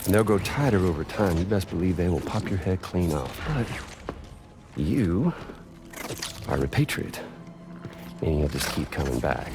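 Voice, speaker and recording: Taunting, drawling villain with wild swings. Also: male voice, sits low, animated, a little slow, long pauses, music in the background. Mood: sadistic, menacing. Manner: mocking, drawling, theatrical. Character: taunting theatrical Southern male villain, menacing and mocking. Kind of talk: video game cutscene acting.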